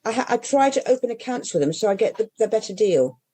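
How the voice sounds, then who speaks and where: Mellow-voiced older English woman, rambling. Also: fairly high pitch, sing-song, fast, slightly rough. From England. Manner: rambling, with many restarts and trailing asides. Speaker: independent bookshop owner. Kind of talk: casual interview chat.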